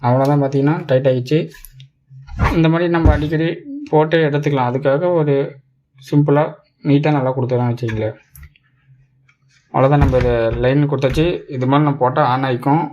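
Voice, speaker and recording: A man's voice: mid-range voice, steady tone, fairly quick, pauses often, warm tone, lo-fi sound. Tamil Nadu man, casual reviewer, noisy recording. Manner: colloquial, repetitive, step-by-step explaining. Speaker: tech video reviewer. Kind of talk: casual product unboxing and demo. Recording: clear background noise, clicks.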